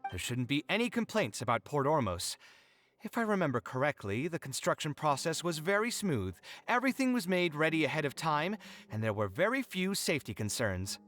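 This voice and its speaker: Show-off young architect dude in a game, pitch swinging all over, soft music under him. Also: male voice, big pitch swings, a little fast. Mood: boastful. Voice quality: theatrical, showy. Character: proud, boastful young male architect. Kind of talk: game voice acting.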